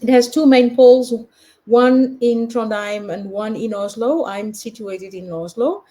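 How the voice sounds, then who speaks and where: A woman's voice: on the high side, measured pace, warm tone. A woman from Portugal giving a research presentation in a webinar over a video call, speaking hesitantly with many fillers and restarts.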